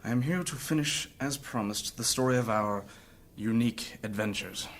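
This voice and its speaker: A man's voice: mid-range voice, moderate pace, fairly bright tone, lightly acted. A man playing a wounded, earnest adventurer who tells his story courteously and formally in a fantasy audio drama. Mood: weary, resolute.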